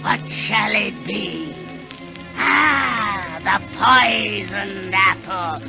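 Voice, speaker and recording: Evil queen turned old hag, drawn-out menacing delivery, muffled vintage radio sound with music behind. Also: medium pitch, vocal fry. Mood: gloating. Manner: theatrical, drawn-out, menacing incantation. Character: wicked vain queen turning into a cackling old hag witch. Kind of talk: 1930s-40s radio acting.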